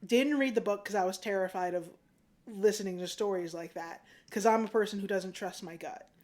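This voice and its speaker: A woman in her thirties talks as a guest on a podcast. Her voice sits at an ordinary middle pitch. She is easygoing and reflective, and laughs readily as she talks. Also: fairly quick, a little mellow. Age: 30s. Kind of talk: casual chat.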